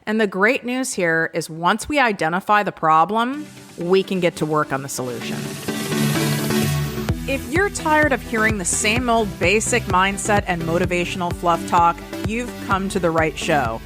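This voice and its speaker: A woman hosting her own podcast, talking frankly and with self-deprecating humor in an energetic solo monologue. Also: medium pitch, normal speed, over loud music. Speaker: podcast host.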